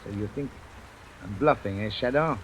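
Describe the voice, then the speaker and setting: Male actor as cornered, threatening gang boss, 1930s radio melodrama, clearly noisy recording. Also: fairly low pitch, moderate pace, close to an everyday voice. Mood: menacing. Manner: menacing, melodramatic.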